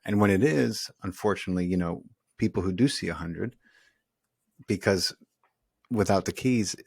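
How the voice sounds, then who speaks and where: Deep-voiced man in his forties. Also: darkish tone, dry recording, clean studio sound. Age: 40s. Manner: affirming, halting, repeats words. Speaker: podcast host. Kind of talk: casual chat.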